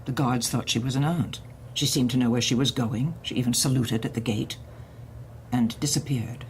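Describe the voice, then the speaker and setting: A woman's voice: rumbling low, moderate pace, slight background noise. A woman playing a stern, controlling older woman who runs a reeducation center, coldly sweet and menacing. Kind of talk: audio drama acting.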